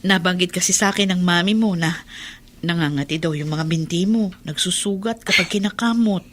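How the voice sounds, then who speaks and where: A Filipino actress playing a gentle, forbearing middle-aged mother in a radio drama, soothing and pleading as she keeps the peace. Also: lowish voice, normal speed. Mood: kindly, coaxing. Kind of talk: Tagalog radio drama acting. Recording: clearly noisy background ambience.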